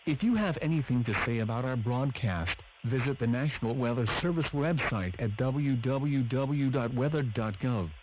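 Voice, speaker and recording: A man with a flat, synthetic-sounding delivery reads formal scripted announcements as a weather radio broadcaster. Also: medium pitch, normal speed, hardly any pauses, slight background noise, poor audio.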